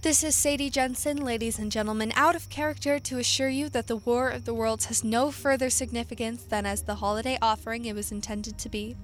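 A woman's voice: a little high, steady tone, brisk, hardly any pauses. A woman giving a playful, theatrical scripted sign-off to radio listeners. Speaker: radio drama director and star signing off.

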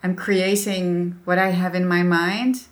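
A middle-aged Dutch woman with a Dutch accent, a podcast guest talking reflectively with halting restarts. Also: fairly low pitch, level tone. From Netherlands. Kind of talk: casual interview chat.

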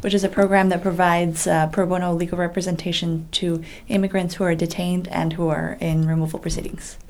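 A woman immigration attorney in her office, answering interview questions and explaining terms clearly. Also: lowish voice, little pitch movement, a little fast, a little mellow. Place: legal services office.

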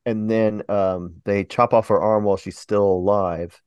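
A man's voice: lowish voice, steady tone, measured pace, a little mellow, clean tone, no echo. A man with a Southern American accent co-hosting a movie podcast, speaking hesitantly with many ums. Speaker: podcast co-host. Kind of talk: casual chat.

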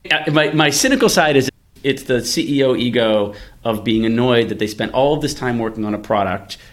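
A man's voice: medium pitch, a little fast. A man co-hosting a podcast, explaining tech ideas in a conversational, analytical way and thinking aloud with examples. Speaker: podcast co-host, venture capitalist. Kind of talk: casual, explanatory tech discussion.

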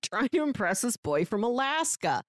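Expressive woman, higher voice, swooping pitch. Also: moderate pace, no room sound, clean studio sound. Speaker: podcast co-host. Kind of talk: casual book discussion.

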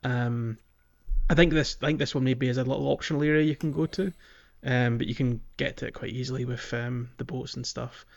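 A man's voice: medium pitch, fairly even intonation, a little fast, creaky. A man hosting a podcast in a casual, hedging, conversational way about video games. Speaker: podcast host. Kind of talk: casual video game discussion. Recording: dull lo-fi microphone.

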